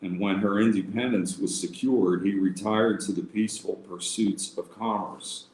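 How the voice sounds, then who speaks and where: A man reading aloud as an informal presenter for a local historical society, in a somewhat halting manner. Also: fairly low pitch, steady tone, a little mellow. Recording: roomy echo with faint background noise.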